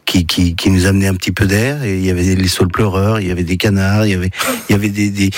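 A man's voice: deep voice, steady tone, fast talker, no room sound. A middle-aged man from the Paris region, a film director answering radio interview questions, hesitant with many restarts.

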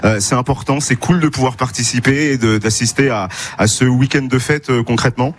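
Guy hosting live festival radio, chatty, jokey, lots of euhs, mid pitch. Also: brisk, a little hiss or hum. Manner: chatty, jokey, hesitant fillers. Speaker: radio host. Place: outdoor festival stage.

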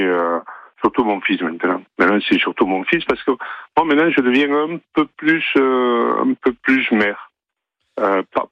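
A middle-aged man from southern France calling in to a radio show, rambling with many pauses. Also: deep voice, no echo. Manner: rambling, hesitant with many fillers. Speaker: caller. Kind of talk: phone-in chat. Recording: muffled phone-line audio.

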